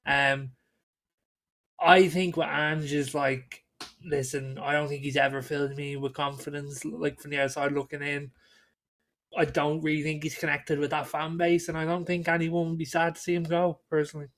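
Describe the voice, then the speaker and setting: A man's voice: fairly high pitch, little pitch movement, normal speed, fairly bright tone. A man co-hosting a football podcast, giving blunt, opinionated takes in casual conversation.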